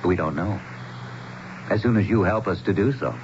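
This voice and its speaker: An actor playing an earnest, troubled small-town mayor in a radio drama, with a grave, halting manner. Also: male voice, fairly low pitch, lightly acted. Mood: guarded, earnest. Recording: dull, noisy old radio broadcast.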